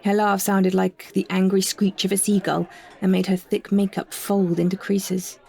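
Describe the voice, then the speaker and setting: A woman reading a first-person literary story in a measured, descriptive way. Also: medium pitch, level tone, vocal fry, a little mellow, husky, a faint crowd. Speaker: narrator and performer of a short story.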